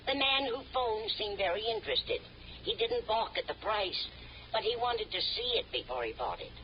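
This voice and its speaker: A woman's voice: medium pitch, lively intonation, moderate pace, a little hiss or hum, close to an everyday voice. An actress playing a dotty, absent-minded, kindly older woman in an old radio sitcom, vague and fluttery in manner. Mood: chatty, muddled. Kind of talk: 1950s radio situation comedy acting. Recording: muffled old radio recording, audience behind.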